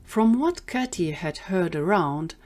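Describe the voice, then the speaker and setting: Lower-voiced woman narrating fiction. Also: expressive, a little slow, a little mellow. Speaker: narrator and performer. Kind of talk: scripted audio fiction narration.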